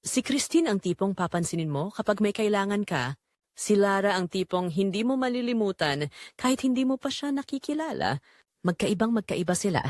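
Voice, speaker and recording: A woman with a Filipino accent narrating a scripted romance story in an expressive, measured way with dramatic pauses. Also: mid-range voice, no echo, clean studio sound.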